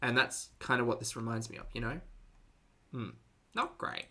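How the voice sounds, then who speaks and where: A man's voice: medium pitch, lively intonation, normal speed, background noise. A man hosting a reaction stream, chatting casually to his live audience with dry, teasing sarcasm. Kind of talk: casual live commentary to a chat.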